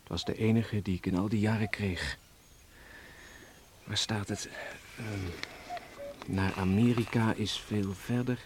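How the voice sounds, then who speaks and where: Hearty seaman, noisy old recording. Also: lowish voice. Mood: tender, hesitant. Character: hearty, wistful seaman home after years away. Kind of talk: Dutch radio play acting.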